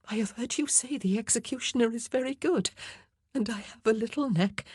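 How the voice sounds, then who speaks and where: A fragile, faithful young queen facing death, her voice pitched high and breaking into trembling whispers, as a woman reader puts her on. The tone is husky. The recording is close and dry. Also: a little fast, darkish tone, dry recording. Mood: anxious. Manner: trembling, breathy, often whispered pleading.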